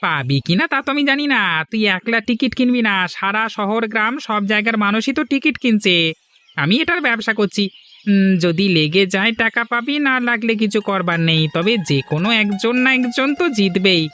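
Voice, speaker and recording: A woman playing a pushy, persuasive village lottery seller in an animated story, brisk and coaxing. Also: mid-range voice, no room sound, close to an everyday voice. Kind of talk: animated story dialogue acting.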